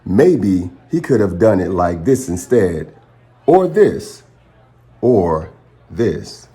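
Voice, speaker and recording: Low-voiced man reading a picture book expressively. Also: big pitch swings, dark tone. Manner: dramatic, pausing phrasing, speaks to young listeners. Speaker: children's storytime reader and channel host.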